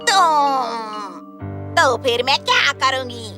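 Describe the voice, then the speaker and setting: Ranting, high-pitched wild witch. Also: animated, slow, music in the background. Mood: fed up. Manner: ranting, wailing, melodramatic. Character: ravenous, furious, wild witch weary of playing princess. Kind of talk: audio fairy tale voice acting.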